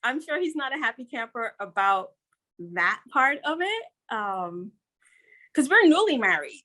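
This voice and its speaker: A woman's voice: on the high side, sing-song, measured pace, bright, forceful tone. A middle-aged woman from Guyana, a guest on an interview podcast, talking in an earnest, upbeat way and repeating points for emphasis. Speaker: guest, business consultant.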